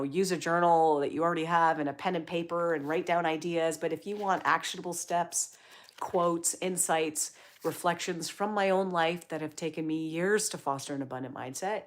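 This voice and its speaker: A woman's voice: deep voice, fairly even intonation, normal speed. A woman hosting a casual livestream, chatting upbeat and encouraging while she reads viewer comments aloud. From Canada. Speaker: livestream host.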